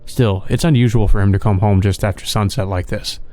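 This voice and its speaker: A man reading visual novel narration aloud for a let's play, in a plain, measured storytelling manner. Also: on the low side, fairly even intonation, a little mellow, no echo.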